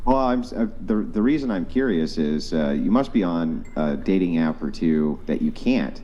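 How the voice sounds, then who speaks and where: Deep, dark-toned man, probing co-host, dull muffled recording. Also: expressive, normal speed. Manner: probing, pointed questioning. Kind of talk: heated podcast panel talk.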